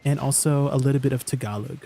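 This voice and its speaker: A man's voice: medium pitch, racing, creaky, mellow, a little hiss or hum. A man chatting casually on a game live stream, rambling, laughing often and restarting his sentences. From Canada.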